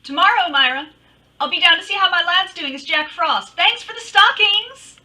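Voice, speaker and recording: Female radio-drama detective woman acting: witty, teasing, very lively high voice, recorded at a distance. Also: normal speed, exaggerated voice. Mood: friendly, gushing. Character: witty, feisty woman private detective narrating her case.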